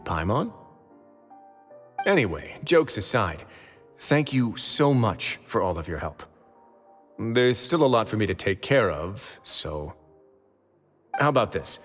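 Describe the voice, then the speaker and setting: A man acting a composed, wry adult male warden in a video game, speaking in a measured, matter-of-fact way. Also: medium pitch, big-room sound, lo-fi sound.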